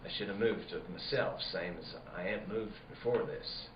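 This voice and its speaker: An actor playing a middle-aged rural sheriff in a radio play, speaking a folksy rustic dialect in a deliberate, roundabout way. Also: male voice, sits low, natural voice. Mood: earnest. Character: slow-talking rural sheriff, folksy, probing and insinuating. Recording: dull, roomy, faint background noise.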